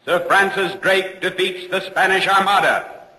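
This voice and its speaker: A solemn vintage radio announcer, a man with a high, forceful voice. He delivers lines dramatically into a big echoing reverb. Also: unhurried. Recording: echoing old radio broadcast, long reverb.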